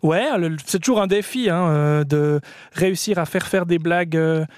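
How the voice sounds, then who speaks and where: A Swiss French man in his thirties talks in a rather high voice, loose and self-mocking. He answers interview questions at a studio microphone. The sound is close and dry. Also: normal speed, no room sound. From French-speaking Switzerland. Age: 30s. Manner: relaxed, self-mocking, with light laughs. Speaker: comedian guest. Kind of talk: radio interview. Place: radio studio.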